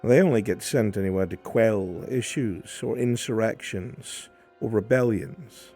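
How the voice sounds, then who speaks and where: A man playing a shrewd informant in a fantasy roleplay, speaking in a formal, deliberate way. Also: lowish voice, dark tone, breathy edge. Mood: measured, businesslike. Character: measured, shrewd male informant in fantasy court intrigue. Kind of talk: improvised fantasy roleplay dialogue. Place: online VR voice chat. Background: music.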